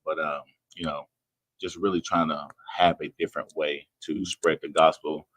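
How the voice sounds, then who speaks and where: A man's voice: a bass voice, level tone, moderate pace, fairly bright tone. A man, talking earnestly and plainly as a guest in a conversational interview. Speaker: personal trainer, guest.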